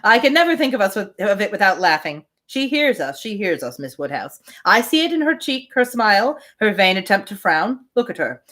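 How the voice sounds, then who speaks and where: Teasing young gentleman read by a woman, classic-novel storytelling, eager, exclamatory, very lively intonation. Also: mid-range voice, brisk, few pauses. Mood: gleeful teasing. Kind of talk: acted dialogue in an audiobook-style reading.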